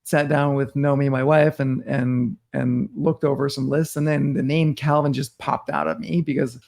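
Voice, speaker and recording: A man's voice: mid-range voice, level tone, normal speed, gravelly, darkish tone. A middle-aged man from Denmark, a podcast guest talking casually and rambling with many restarts. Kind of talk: casual interview talk.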